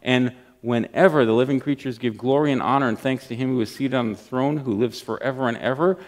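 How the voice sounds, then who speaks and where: A middle-aged man preaching a sermon from a church lectern, reading scripture and praying with earnest emphasis and pauses. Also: medium pitch, a little mellow. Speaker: pastor. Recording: long church hall reverb.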